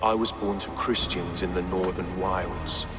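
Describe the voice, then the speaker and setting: Deep, slow, bitter villainous bishop. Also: male voice. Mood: bitter, brooding. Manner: slow, poetic, menacing. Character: bitter zealous villain, male bishop who hates God. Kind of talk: dramatic game villain monologue. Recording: echoing hall reverb, music behind.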